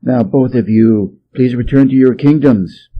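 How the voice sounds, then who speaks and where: A man playing a stern, aloof celestial emperor in a storybook reading, formal and measured, on a slightly muffled recording. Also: mid-range voice, close to an everyday voice. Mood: commanding, calm. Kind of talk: storybook character voice.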